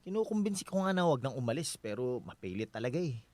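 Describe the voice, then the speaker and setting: A man with a Filipino accent playing a gentle, considerate husband in a radio drama, talking in a reassuring, conversational way. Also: medium pitch, very animated, fast, no room sound, lightly acted.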